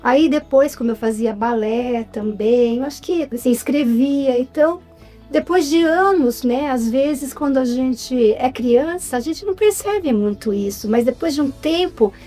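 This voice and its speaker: A middle-aged woman from Jundiaí with a São Paulo state accent, telling her story as a guest in a chatty, relaxed interview. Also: sits high, a little slow, faint music behind. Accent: Brazilian Portuguese, São Paulo state. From Jundiaí, Brazil. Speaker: guest, writer and actress. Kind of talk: casual interview.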